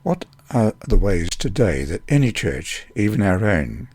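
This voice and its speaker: Man, husky rough-edged voice, fairly low pitch, scripted devotional reading. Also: big pitch swings, measured pace, darkish tone, dry recording. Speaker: lesson reader.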